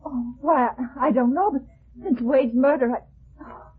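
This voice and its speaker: An actress playing a frightened, hesitant young woman in a 1950s radio detective drama, speaking haltingly. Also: high voice, breathy edge, heavily acted. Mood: anxious. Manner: halting, fearful. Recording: muffled phone-like vintage radio recording.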